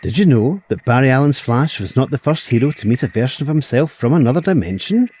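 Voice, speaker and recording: A man with a mid-range voice reads a scripted podcast promo as co-host. His dramatic delivery is very animated in pitch, over faint noise. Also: quick pace.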